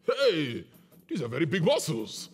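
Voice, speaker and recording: A man's voice: fairly high pitch, huge pitch swings, unhurried, husky, soft background music, full character voice. A man voicing an old village chief, a blind and dramatic father in a told folk story, exclaiming and repeating his words. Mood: testing, amazed.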